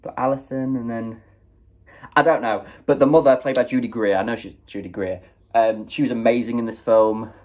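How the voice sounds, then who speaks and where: A man's voice: medium pitch, normal speed. Sniffly young man chatting about a film. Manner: chatty, animated, many fillers and quoted reactions. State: sniffly with a cold. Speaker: movie reviewer. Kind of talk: casual spoken film review.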